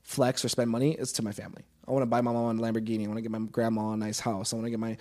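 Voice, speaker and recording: A man's voice: on the low side, level tone, very fast, darkish tone. A man in his early twenties talking casually and earnestly as a podcast guest, informal and given to swearing.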